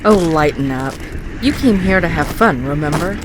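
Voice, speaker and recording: A woman's voice: low voice, expressive, normal speed. A woman playing a headstrong, teasing alien starship officer in a science fiction audio drama, emphatic and goading, with noise behind her. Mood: goading, confident.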